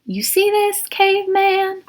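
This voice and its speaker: A woman reading aloud the part of a coolly menacing camp warden in a storybook, deliberate and falsely polite. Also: very high voice, animated, voice pushed up, full character voice. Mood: quietly menacing.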